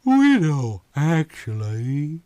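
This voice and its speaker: A man's voice: fairly high pitch, huge pitch swings, extremely slow, darkish tone, no room sound, heavily acted. A man reading a story aloud, voicing a flirtatious red-haired woman of about thirty-five with a Southern US drawl. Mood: coy. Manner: coy, drawling.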